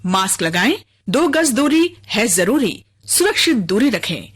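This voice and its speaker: A woman's voice: on the high side, sing-song, measured pace, slightly rough. A woman reading a scripted public-service health message as an announcer. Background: loud music.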